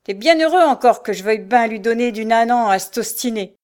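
A cruel old beggar woman from a dramatic novel, acted out by a woman narrator. Her speech is coarse and spiteful, full of sneers and scolding. The voice rides high and forceful, always close to rage. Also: animated, normal speed. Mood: barking orders. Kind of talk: dramatic audiobook character voice.

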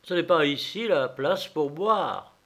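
A man's voice: fairly high pitch, animated, slow, a bit bright, voice pushed up, heavily acted. A man voicing a fussy bespectacled reader who scolds in a prim way. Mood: scolding.

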